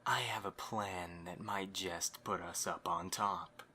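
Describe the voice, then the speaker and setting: A man's voice: deep voice, steady tone, slow pace, pushed and bright, a little hiss or hum, exaggerated voice. A man acting a courteous, scheming young host in an amateur audio drama, with a theatrically polite manner. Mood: confident, scheming.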